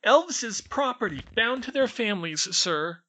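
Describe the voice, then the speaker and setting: A tiny, frantic house-elf squeaks in a piercing high voice, pleading and bowing with every sir. His grammar is broken and his delivery is loud and lively, swooping up and down. The tone is bright and sharp. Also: normal speed, heavily acted. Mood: servile whining. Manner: servile, says sir constantly, broken grammar.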